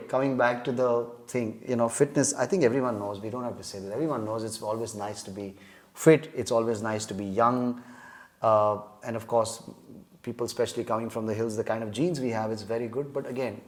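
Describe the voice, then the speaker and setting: Jokey man, rambling laughs. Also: lowish voice. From India. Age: 30s. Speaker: podcast guest. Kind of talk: casual chat.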